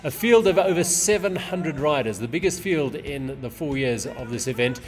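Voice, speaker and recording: A man's voice: mid-range voice, expressive, moderate pace, faint music behind. A man giving scripted, upbeat narration of a bike race.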